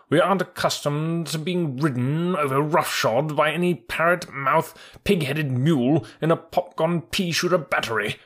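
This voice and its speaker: A man acts out a big proud troop horse from an animal storybook. He sounds haughty and well-mannered, with the pitch lifted above plain narration. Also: a bit bright, breathy edge, exaggerated voice.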